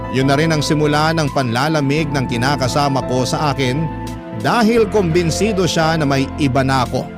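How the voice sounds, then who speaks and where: Slightly high male narrator over clear music. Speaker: narrator reading a listener's letter. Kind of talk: dramatic first-person story narration. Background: background music.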